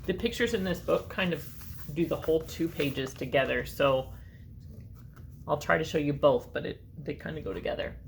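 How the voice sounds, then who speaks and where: A woman reading a children's storybook aloud with expressive emphasis and short asides to her listeners. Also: on the low side, a little hiss or hum. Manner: expressive, dramatic emphasis on key words.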